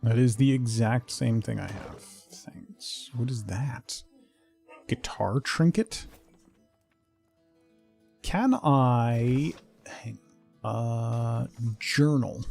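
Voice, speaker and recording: A man's voice: medium pitch, very slow, creaky, long pauses, a little mellow, slightly rough. A middle-aged man casually chatting on a game livestream in a rambling, joking, mock-scolding way. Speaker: game streamer. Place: indoors at home. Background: faint music.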